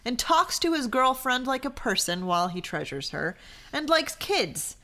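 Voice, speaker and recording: Female podcast co-host, thirties, bright and animated, playful and wry, casual read-aloud style. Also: sits high, moderate pace. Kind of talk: casual chat, reading posts aloud.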